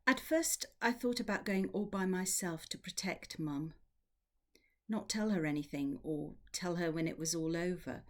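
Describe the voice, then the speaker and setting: A woman with a low-pitched voice reads literary fiction aloud as a narrator. Her delivery is measured and expressive, shaped like an audiobook reading. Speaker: literary translator reading from a novel.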